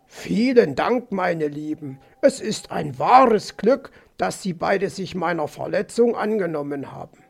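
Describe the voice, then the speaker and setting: A man voices a courteous storybook fox, male, gentle and a little dazed. The delivery is polite and unhurried, in a high, creaky voice. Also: animated, exaggerated voice. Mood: politely grateful. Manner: polite, slow, friendly.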